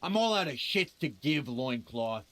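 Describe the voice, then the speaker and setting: Foul-mouthed mercenary, aggressive, forceful, animated pitch, taunting, game rain and music. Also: male voice, a little slow. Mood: menacing, furious. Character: menacing, foul-mouthed mercenary at the end of his patience.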